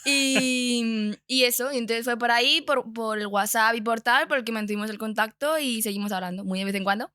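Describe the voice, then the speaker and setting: Bright, high-voiced young woman from Spain. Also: a little fast, hardly any pauses, no echo, clean studio sound. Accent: Spanish (Spain). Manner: informal, colloquial. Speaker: podcast guest. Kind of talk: casual chat, personal anecdotes.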